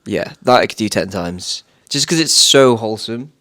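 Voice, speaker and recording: A man's voice: on the low side, lively intonation, moderate pace, sharp tone. A man from the UK co-hosting a podcast, upbeat and chatty, stretching his phrases. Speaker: podcast co-host. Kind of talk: casual chat.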